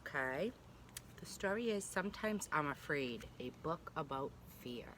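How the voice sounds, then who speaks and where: A woman preschool teacher reading a storybook aloud to young children, gently and reassuringly, with chatty asides. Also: sits low, expressive, measured pace, background noise. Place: at home.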